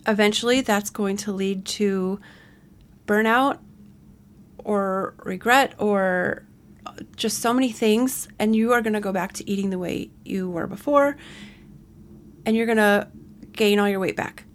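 Woman, 40s, solo podcast host; casual, rambling, mid-pitched everyday voice. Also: unhurried, long pauses. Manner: rambling, chatty, self-correcting asides.

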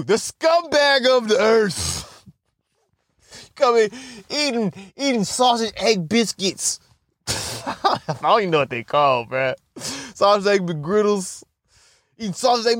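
A man's voice: sits high, fairly bright tone, dry recording, clean studio sound. A young man hosting a podcast, rambling casually with lots of laughter and swearing, and a stutter. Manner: laughing, profane, self-mocking. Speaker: podcast host.

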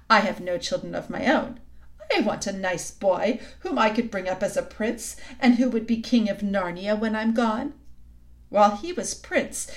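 Sly queen, coaxing and cold, read by a woman; high, lively voice. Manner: coaxing, silky, suddenly sharp. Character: sweetly scheming cold queen, tall and commanding.

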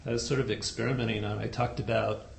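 Soft spoken guy giving a dharma talk, gravelly, lots of um and uh, echoey room. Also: fairly low pitch, flat delivery, quick pace, a little hiss or hum. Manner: soft-spoken, hesitant with fillers. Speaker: dharma teacher.

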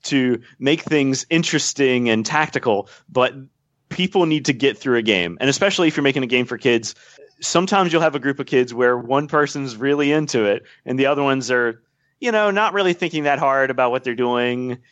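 A man's voice: mid-range voice, a little fast, a bit bright, no room sound. A man explaining game design at length as a podcast guest, thoughtful and full of small fillers. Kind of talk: casual podcast chat. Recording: dull, dry podcast audio.

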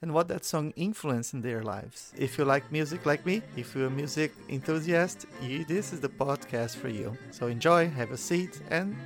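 A man's voice: a little high, over music. Friendly man hosting a podcast. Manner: friendly, encouraging, quick interjections. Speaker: podcast host. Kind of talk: casual podcast interview.

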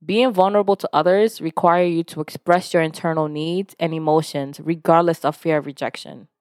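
Podcast host, a woman from Nigeria with Yoruba as her first language. Lower-pitched voice, dry close sound. Candid self-help talk, casual, a little profane. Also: fairly quick, no echo. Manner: frank and conversational, with casual swearing; lively when retelling stories, voicing others.

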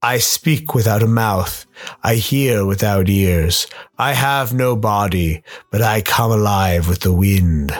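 A man playing a sly, towering demonic gardener in a radio play, courteously menacing, teasing and unhurried. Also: fairly low pitch. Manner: unhurried, teasing, mock-polite. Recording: music behind the voice.

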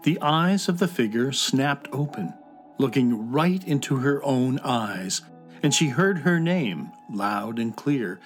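A man narrating a children's fantasy audio drama in a measured, descriptive way. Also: mid-range voice, expressive, faint music behind. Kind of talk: scripted storybook narration.

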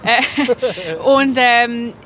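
Helle Frauenstimme, Schweizer Akzent, draußen mit Straßenlärm. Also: female voice, a little high, lively intonation, extremely slow, a bit bright. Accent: Swiss. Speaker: street interviewee. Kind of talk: casual spontaneous interview answers. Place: outdoors on a city street. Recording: outdoor street noise with traffic.

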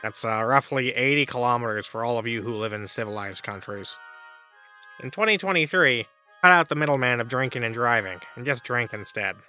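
A man's voice: medium pitch, a little fast, sharp tone, soft background music. A man playing a theatrical, flippant advice host in a scripted comedy monologue, with an arch, wry delivery.

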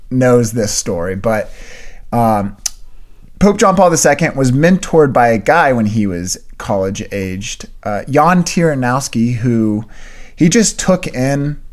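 Young male co-host, earnest and casual, mid-range voice, clears throat. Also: mid-range voice. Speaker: podcast co-host and writer. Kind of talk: casual chat.

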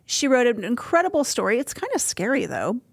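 A woman's voice: fairly high pitch, huge pitch swings, brisk, vocal fry, no room sound, studio quality. A woman hosting a podcast in a conversational interviewing style. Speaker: podcast host.

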